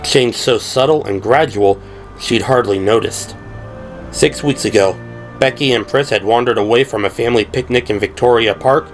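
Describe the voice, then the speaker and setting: Male narrator for audio drama: lower register, measured storytelling delivery, prominent music underneath.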